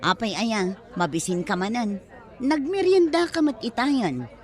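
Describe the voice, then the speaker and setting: A woman's voice: on the high side, sing-song, a little slow, darkish tone, some chatter behind. A woman acting a chatty grown daughter in a family comedy audio drama. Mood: patient. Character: chatty adult daughter of a retiring teacher.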